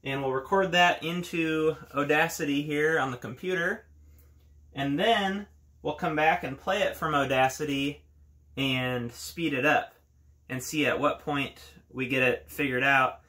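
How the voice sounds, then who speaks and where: A man's voice: a little high, a little slow, bright, forceful tone. A man in his thirties talking casually through a hands-on tutorial step by step, recorded in a small home workshop room. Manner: explains step by step in plain words. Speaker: hobbyist video host.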